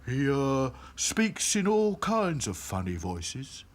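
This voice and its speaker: A man voicing a meek, hesitant, quietly scheming male rag doll in a children's storybook. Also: medium pitch, wildly swooping pitch, slow pace, voice pushed up. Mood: improvising. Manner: hesitant, apologetic.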